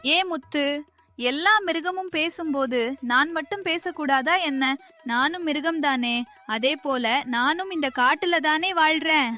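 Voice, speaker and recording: A woman's voice: high-pitched, measured pace, pushed and bright, soft background music. A woman voicing a talkative, eager, friendly little rabbit in a children's cartoon story. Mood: cheerful, chatty. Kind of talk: children's animated story dialogue.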